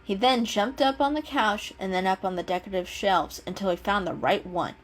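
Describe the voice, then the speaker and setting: A woman narrating a children's animal story as an expressive dramatic reading. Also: mid-range voice, brisk, rough recording. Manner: expressive, acts out the dialogue. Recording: music under the voice. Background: background music.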